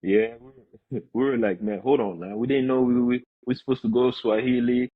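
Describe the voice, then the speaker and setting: A man's voice: medium pitch, steady tone, a little fast. A young man from Congo who grew up in the US, chatting casually as a podcast guest over a muffled video call. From born in Congo, grew up in the US. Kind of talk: casual chat. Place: room with large windows, indoors. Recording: muffled, narrow-band video call audio.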